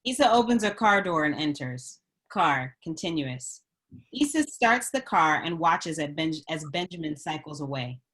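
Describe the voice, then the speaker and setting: Lowish-voiced woman narrator. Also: lively intonation, moderate pace, fairly bright tone, slightly rough. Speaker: stage directions reader. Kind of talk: scripted narration.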